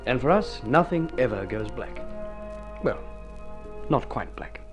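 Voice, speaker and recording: Formal old-time radio host guy, theatrical and urbane, lively pitch, music under him. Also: unhurried. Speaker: narrator and series host. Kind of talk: 1950s radio scripted narration.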